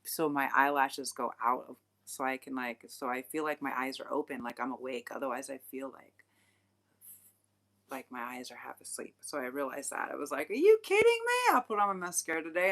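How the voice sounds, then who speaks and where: Low-voiced, bright, rambling tarot reader woman. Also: very animated, fairly quick. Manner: rambling, frank, swears casually, many fillers. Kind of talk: casual livestream tarot reading.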